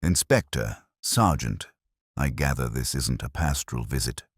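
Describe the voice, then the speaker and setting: A meek village reverend, as a male narrator reads him, wary and suspicious. His voice is very deep, with dry delivery and varied pitch. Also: expressive, measured pace, breathy edge, no echo, exaggerated voice.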